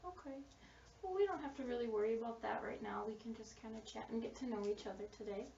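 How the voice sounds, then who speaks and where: A woman acting as a school social worker in a counseling role-play, speaking gently and reassuringly with frequent fillers and affirmations. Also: a little high, fast talker, gravelly, slight background noise. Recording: distant, roomy, dull recording.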